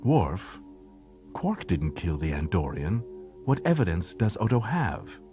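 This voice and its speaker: A man's voice: low-pitched, sing-song, unhurried, over music. A man voicing a bold, teasing woman warrior in an audiobook, playful and challenging. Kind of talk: audiobook character acting.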